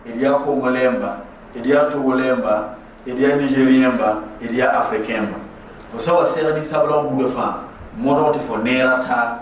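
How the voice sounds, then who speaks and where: A man's voice: mid-range voice, fairly even intonation, moderate pace, slight background noise. A middle-aged man from Burkina Faso, giving an impassioned ceremonial speech at a mosque inauguration and driving his points home through repetition. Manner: impassioned, preaching with rhetorical repetition. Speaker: guest speaker at a mosque inauguration. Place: large echoing prayer hall. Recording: very echoey hall, voice far from the mic.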